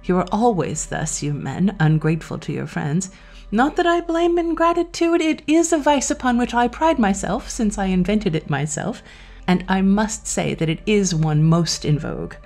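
A sardonic Satan-like tempter acted out by a woman in a gothic storybook reading. The speech is courtly and archaic, with drawn-out, mocking phrases. The fiend sounds pleased with himself and falsely friendly, in a voice raised above the narration. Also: mid-range voice, lively intonation, darkish tone, faint music behind, voice pushed up, close to an everyday voice. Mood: mocking, boastful.

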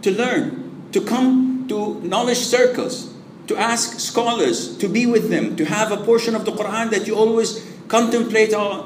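Male preacher, conversational lecture, Arabic phrases woven in, roomy reverb, faint hiss. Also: sits high. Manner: conversational, asks and answers own questions; sprinkles Arabic phrases into English. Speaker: Islamic preacher.

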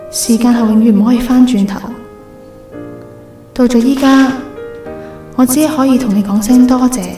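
An actress playing a wistful young woman reading out a farewell confession in a radio drama, gentle, reflective and sorrowful. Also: on the high side, natural voice. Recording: roomy, distant voice over music. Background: music.

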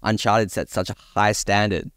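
A man with a deep, clear voice talks casually on a panel. He comes across as agreeable and informal. The sound is dry and close. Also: level tone, normal speed, fairly bright tone, no room sound. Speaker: podcast panelist. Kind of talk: casual panel discussion about films and games.

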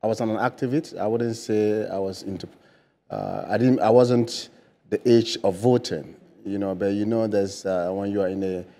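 A man's voice: lowish voice, moderate pace, warm tone. A man in his forties with a Ghanaian accent, a political hopeful answering interview questions earnestly, with many fillers and restarts, in a TV studio on a lapel mic. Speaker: political candidate guest. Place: bright TV studio.

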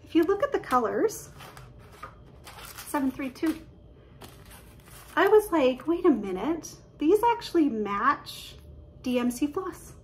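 A woman, chatting excitedly and quickly about her craft projects from her living room, filled with "um"s and little laughs. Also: high-pitched, a little hiss or hum. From Canada. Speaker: cross-stitch vlogger. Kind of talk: casual show-and-tell chat. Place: living room at home, indoors. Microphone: webcam at desk distance.

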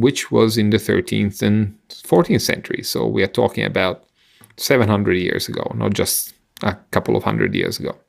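A middle-aged man co-hosting a podcast, explaining science conversationally in a halting, teacherly way. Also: on the low side, creaky. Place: indoor room on a video call. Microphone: headset and microphone close up.

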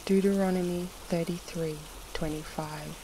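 A narrator reading scripture and prayer for a sleep meditation in a measured, solemn way with pauses between short phrases, in a devotional style. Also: medium pitch, noisy background. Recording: steady rain sound over the voice. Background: soft rain.

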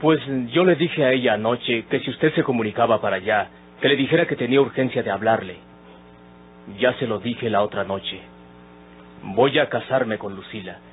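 An actor playing a respectful, earnest young son in an old radio soap. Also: male voice, medium pitch, normal speed, a little hiss or hum, lightly acted. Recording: muffled, humming old radio recording.

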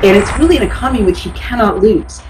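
A woman's voice: medium pitch, expressive, quick pace, far from the mic, noisy background. A woman giving explanatory economic commentary in conversation, articulate and informal.

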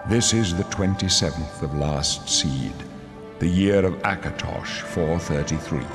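An actor playing a weary, solemn aged emperor in a video game, narrating in a grave, stately, measured manner. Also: male voice, low voice. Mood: solemn foreboding. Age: older. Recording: long reverb under loud music.

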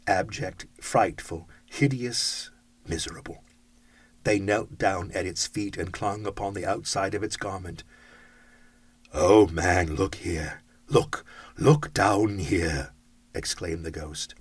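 A man's voice: lowish voice, husky, no room sound. A man reading a classic story aloud, with dramatic, rhythmic phrasing. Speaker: audiobook reader. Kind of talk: expressive storybook narration.

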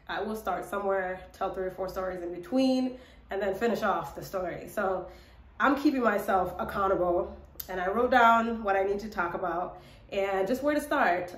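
A young woman talking reflectively and casually to camera in a personal vlog. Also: mid-range voice. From born in Ethiopia to South Sudanese parents, grew up in Minnesota, United States. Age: young adult. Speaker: vlogger, model and actress. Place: small indoor room by a window. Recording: echoey room.

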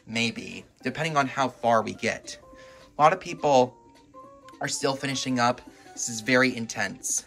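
A man with a bright, forceful voice gives casual commentary over a video game. He is excitable and reacts aloud as he plays. He is recorded at home, with faint game music behind him. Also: mid-range voice, normal speed, creaky. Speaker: gaming video host. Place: indoors at home, filming a TV.

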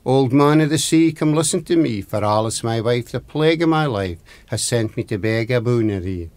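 A man's voice: mid-range voice, expressive, moderate pace, darkish tone, no room sound, lightly acted. A man voicing a henpecked, obedient fisherman in a folktale, chanting and beseeching with a Scottish accent. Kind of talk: oral folktale storytelling.

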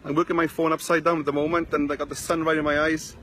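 A man's voice: on the high side, level tone, quick pace, a bit bright, slight background noise. A man in his forties with a South Wales valleys accent, chatting casually to viewers on a live video from a sunny beach, talking into a phone held at arm's length. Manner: rambling, chatty, greets viewers by name. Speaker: online marketing vlogger. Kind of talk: casual Facebook live chat.

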